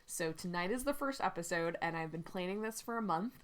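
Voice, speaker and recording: A woman hosting a casual writing livestream, hoarse and stuffy-nosed from a cold, talking in a chatty, rambling way with many "like"s and "um"s. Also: on the low side, lively intonation, fairly quick. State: stuffy, runny nose. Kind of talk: casual live-stream talk.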